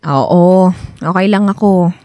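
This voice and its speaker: A woman acting a moody, hesitant young girlfriend in a radio drama, expressive, with a Filipino accent. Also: on the low side, slow, no room sound. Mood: sullen, tense.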